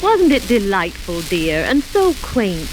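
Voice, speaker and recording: An actress playing a cheerful, polite young wife sightseeing in an old-time radio drama. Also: female voice, medium pitch, big pitch swings, slow pace, over music, rough recording. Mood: delighted.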